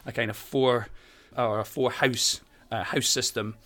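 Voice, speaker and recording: A man's voice: medium pitch, fairly even intonation, measured pace, fairly bright tone. Talkative Scottish man, friendly. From Scotland. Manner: friendly, talkative, frequent fillers and restarts. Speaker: primary school teacher. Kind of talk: conversational interview.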